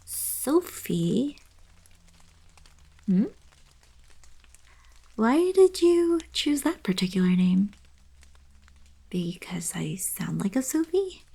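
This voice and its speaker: A woman playing a gentle, regal young alien princess in an intimate ASMR roleplay, soft-spoken and half-whispering, a little hesitant and shy. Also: mid-range voice, big pitch swings, drawn-out words, vocal fry, long pauses, husky, full character voice. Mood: flustered, playful.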